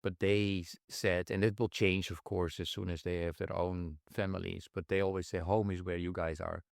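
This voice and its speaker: Host: middle-aged man, very deep, gravelly, relaxed interviewer, dry close mic. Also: normal speed, no echo. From Netherlands. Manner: easygoing, with short backchannels. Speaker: podcast host. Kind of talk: casual interview.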